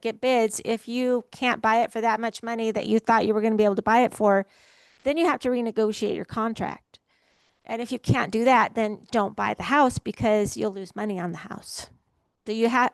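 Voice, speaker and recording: Higher-voiced woman moderating a real estate panel. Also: dry recording. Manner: practical, explanatory, direct. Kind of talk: seminar panel talk.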